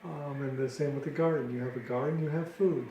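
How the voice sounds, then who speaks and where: A middle-aged American man from Detroit giving earnest, deliberate explanations in an informal interview. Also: mid-range voice, steady tone, warm tone. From Detroit, United States. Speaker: interviewee, ministry founder. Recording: roomy echo with clear background noise.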